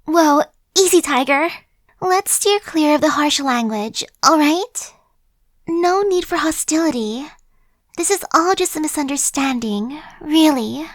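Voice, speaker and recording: Woman as a talkative female zombie, coaxing, sly, high voice, dry close sound. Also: animated, a little slow, dry recording. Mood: persuasive. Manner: coaxing, persuasive, casual chatter. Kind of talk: ASMR roleplay.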